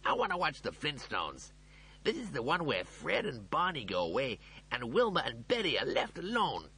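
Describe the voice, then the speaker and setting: A man's voice: medium pitch, huge pitch swings, unhurried, a bit bright, slightly rough, voice pushed up, heavily acted. A man voicing a vain, opinionated humanoid male cat in an audiobook, emphatic and self-assured. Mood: protesting. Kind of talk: audiobook character voice.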